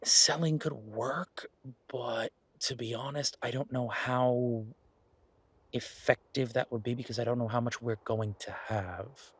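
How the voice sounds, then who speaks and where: A male actor voices a practical boyfriend in a roleplay audio drama, with a husky, mid-pitched voice. He explains things in a casual, thoughtful way, pausing as he works through his reasoning. The delivery is natural and conversational, like one side of a private talk. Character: male werewolf boyfriend, practical restaurateur pitching a farm plan.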